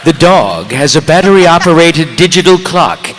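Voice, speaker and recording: A grandly amused narrator in a comic celebrity impression. The delivery is theatrical and drawn-out, swinging widely in a fairly high voice. Long hall reverb surrounds it. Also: male voice, big pitch swings, fairly bright tone, slight background noise.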